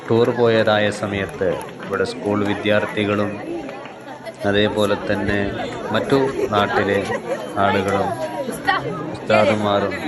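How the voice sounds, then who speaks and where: Low-voiced man narrating over crowd noise. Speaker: video narrator. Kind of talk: explanatory voice-over narration addressed to viewers. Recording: loud crowd and outdoor noise behind.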